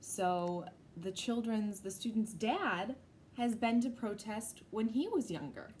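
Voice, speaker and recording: Female primary teacher, read-aloud with plain explanatory asides, medium pitch, slightly noisy recording. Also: takes it slowly, darkish tone. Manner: explains simply, as to young children. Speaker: teacher reading a picture book to a class. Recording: noisy background.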